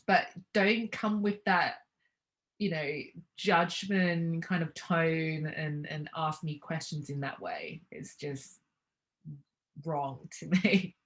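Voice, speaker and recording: A woman's voice: sits low, steady tone, slow pace, fairly bright tone. A young woman talking casually as an interview guest, reflective, with hesitant restarts and light laughs.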